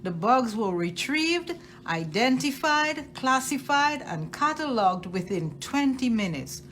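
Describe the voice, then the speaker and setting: A woman reading a children's picture book aloud expressively, with deliberate phrasing and emphasis on key words. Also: high voice, big pitch swings, a bit bright. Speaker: storytime reader at a library.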